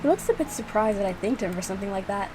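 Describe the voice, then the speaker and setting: Woman, mid-pitched voice, casual reaction commentary, animated, playfully exasperated, informal, clearly noisy recording. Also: rapid-fire. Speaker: video creator reacting to own visual novel.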